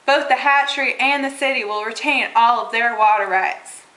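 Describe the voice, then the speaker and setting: A woman's voice: a little high, normal speed, sharp tone. A woman playing an insistent advocate of local development, reading scripted lines in an amateur puppet show. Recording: roomy, echoing room sound.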